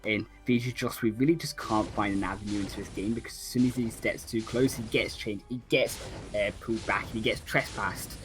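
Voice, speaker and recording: A man's voice: on the low side, steady tone, music in the background. Analytical male esports color commentator. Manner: analytical, halting with many fillers. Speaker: esports caster.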